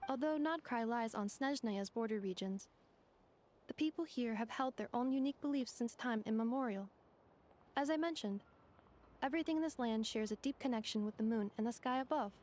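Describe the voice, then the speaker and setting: A woman voicing a polite, precise female robot guide in a video game, speaking in a formal, measured, analytical manner. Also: on the high side, a little mellow, close to an everyday voice. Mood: calmly informative. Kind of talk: video game character dialogue. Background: game music.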